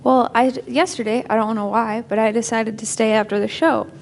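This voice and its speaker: A young woman playing a sincere, eager niece, speaking earnestly and a little haltingly in natural dramatic dialogue. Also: on the high side, fairly even intonation, vocal fry, a little hiss or hum. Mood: earnest, glad.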